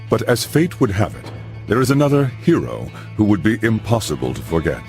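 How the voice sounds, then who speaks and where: Brief: a low-voiced knight father narrating a game saga, grave and dramatic, with expressive swings over music. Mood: grave storytelling. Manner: grave, measured storyteller.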